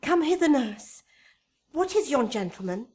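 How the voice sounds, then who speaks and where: An actress playing a young lovestruck noblewoman in a Shakespearean radio drama, speaking measured verse. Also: sits high, big pitch swings, darkish tone. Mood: anxious. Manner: slow, measured verse delivery.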